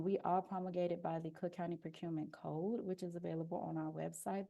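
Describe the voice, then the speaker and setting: Soft-spoken woman giving a work webinar, kinda gravelly, lots of "um"s, headphone mic on a call. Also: low-pitched. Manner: explanatory, steady, with frequent "um" fillers. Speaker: deputy procurement officer presenting a webinar. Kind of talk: informational webinar presentation. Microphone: headphone mic on a video call.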